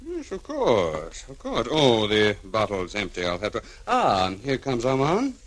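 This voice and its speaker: Smooth male crooked lawyer, hesitant and politely drawn-out, very lively pitch, old radio drama. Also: exaggerated voice. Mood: polite, businesslike. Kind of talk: 1940s radio crime serial acting. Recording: old 1940s radio recording.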